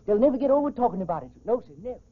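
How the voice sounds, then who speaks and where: An excitable golf caddy, with an extremely high voice and quick, gushing, folksy delivery. It comes through as a tinny 1940s radio recording. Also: male voice. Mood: amazed. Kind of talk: 1940s radio drama acting.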